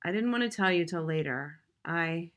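A woman voicing a sheepish young son, talking low and hesitant. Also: expressive, moderate pace, fairly bright tone.

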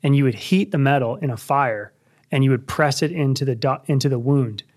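A man hosting a podcast, telling a long story with many fillers and pauses. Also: mid-range voice, a little mellow. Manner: rambling, filler-heavy. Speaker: podcast host. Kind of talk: casual interview.

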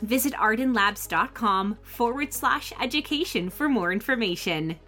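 A woman reads a sponsor ad in a bright, crisp, fairly high voice. Her delivery is smooth and even, and soft music plays quietly under her. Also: normal speed. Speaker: ad announcer. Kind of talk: scripted ad read. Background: faint music.

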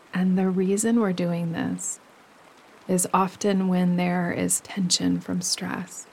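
A woman leading a guided relaxation meditation as a yoga nidra teacher, speaking gently and soothingly, with pauses between phrases. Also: lowish voice, vocal fry, a little hiss or hum, poor audio. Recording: steady running stream water behind voice. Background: gentle running stream sounds.

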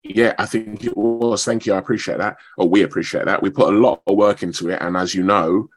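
A man, talking as a guest in a radio interview in a modest, measured, slightly halting way. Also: on the low side, fairly even intonation. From London, England. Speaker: actor, radio show guest.

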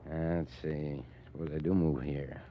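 An actor playing a breezy, slangy American gang boss in 1940s radio style. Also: male voice, rumbling low, steady tone, unhurried, a little hiss or hum. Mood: cocky, breezy. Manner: slangy, ungrammatical tough-guy talk. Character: breezy, rough-talking male gang boss, chuckling and cocky. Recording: muffled old radio recording.

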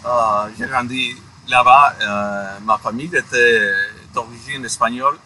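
A middle-aged man speaks as an interview guest. His tone is very bright and sharp, and his pitch sits at a middle level. He speaks carefully, stopping often to search for the right word. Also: fairly even intonation, measured pace, slight background noise, poor audio. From Guatemala. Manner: careful, hesitant, searching for words. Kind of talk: conversational interview.

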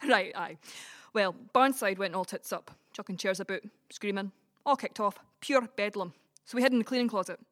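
A woman with a Scottish Dumfries accent acting in an audio play as a brash, gossipy, blunt friend with a soft side. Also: mid-range voice, moderate pace, lightly acted. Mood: gossipy, animated. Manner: chatty, blunt, sweary. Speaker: community cast actor. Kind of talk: community audio drama, colloquial dialogue.

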